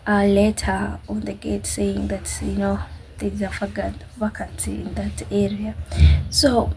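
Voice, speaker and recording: A woman with a Kenyan accent talks casually to her viewers. Her voice has a noticeable creak and sits at an ordinary pitch. She recounts things in a halting, chatty way, over faint background noise. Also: monotone, mellow. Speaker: vlogger. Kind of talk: casual personal storytelling to camera.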